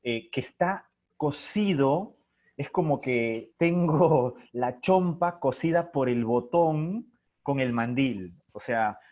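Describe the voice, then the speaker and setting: A man's voice: medium pitch, expressive, slow pace, darkish tone. Guy in an interview explaining stuff casually, theatre director, medium voice, bit muffled lo-fi.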